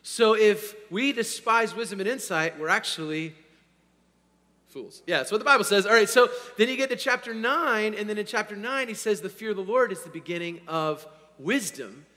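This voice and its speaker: A man preaching a sermon to his congregation, hoarse, energetic, swinging between loud bursts and quiet asides and checking in with "all right?". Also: high voice, normal speed. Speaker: pastor. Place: church sanctuary. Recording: long hall reverb.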